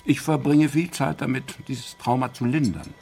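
A man acts a self-assured doctor in a radio crime drama. He has a mid-pitched voice with a gravelly creak and a courteous, deliberate, lecturing manner. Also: fairly even intonation, darkish tone, soft background music, lightly acted. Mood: explaining. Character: courteous, self-assured male doctor explaining bodily ailments.

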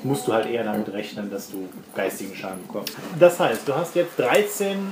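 A man running a tabletop game as game master, explaining rules and improvising scenes in a halting, explanatory way. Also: on the low side, big pitch swings. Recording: roomy home recording with clear noise.

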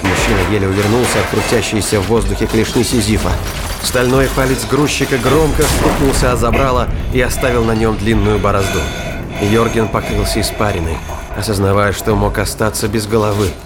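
A man reading scripted narration of a science fiction thriller as a dramatic, deliberate storyteller. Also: lowish voice, fairly even intonation. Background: music and battle sound effects.

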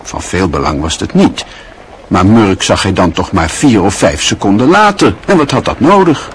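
A man narrating a radio play in a measured, wistful literary style. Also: fairly low pitch, sing-song, a little hiss or hum.

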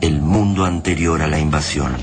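An Argentine man narrating a radio drama in a deep, solemn, literary manner. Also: fairly even intonation, moderate pace. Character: grave narrator of a post-invasion tale. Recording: noisy radio drama soundtrack.

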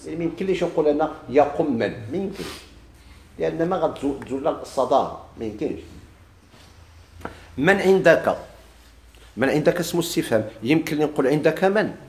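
A Moroccan-accented male teacher talks fast through a grammar lesson, his voice sitting a little high. He keeps asking his class questions, echoes their answers, and stretches out each quoted example slowly. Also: lively intonation, darkish tone, slight background noise. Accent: Moroccan Arabic. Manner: drawls quoted examples slowly, quick plain explanations; asks students questions and repeats their answers.

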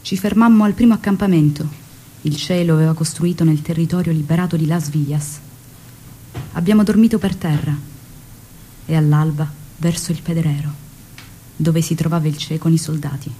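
An actress plays an ardent woman revolutionary looking back on her youth in a theatrical monologue. Her voice is mellow and she tells it in a measured, reflective way. There is faint noise in the recording. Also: deep voice, close to an everyday voice, low-quality recording. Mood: earnest, tense. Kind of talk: dramatic stage monologue.

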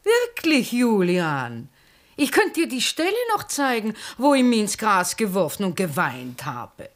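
An actress playing a vivacious, effusive middle-aged former stage star with an Austrian accent in a 1960s radio drama. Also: female voice, medium pitch, wildly swooping pitch, measured pace. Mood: nostalgic, animated. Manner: theatrical, effusive, often breaking into laughter. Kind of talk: 1960s German-language radio drama acting.

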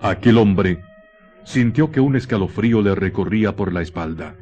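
Grave man narrating radio horror, lowish voice. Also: darkish tone, highs cut off, soft background music. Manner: grave, dramatic, deliberate pauses. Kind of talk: scripted radio drama narration, horror tale.

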